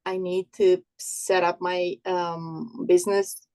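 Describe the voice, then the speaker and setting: A woman from Romania, raised in Italy and by birth, speaks slowly and unhurried on a video call. She is earnest and hesitant as a workshop participant, pausing often and starting her sentences again. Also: on the low side, level tone, mellow. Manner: earnest, hesitant, many fillers and restarts. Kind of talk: casual chat.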